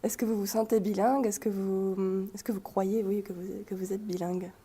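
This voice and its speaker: A woman's voice: medium pitch, little pitch movement, a little fast, mellow, slightly rough. A woman hosting a radio chronicle, asking polite, formal questions and signing off the show. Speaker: radio columnist and interviewer. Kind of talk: radio interview and sign-off.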